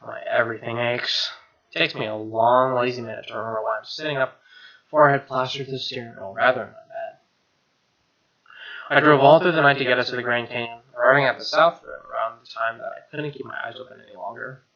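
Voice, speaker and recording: A man's voice: mid-range voice, steady tone, fast talker, vocal fry, sharp tone, muffled recording. A man streamer reading a first-person visual novel aloud as narration. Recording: music behind the voice.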